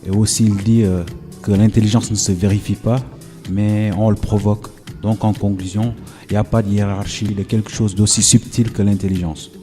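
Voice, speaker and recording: A man giving a short talk as a student columnist, speaking quietly and hesitantly, with fillers. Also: on the low side, level tone, fairly quick, soft background music.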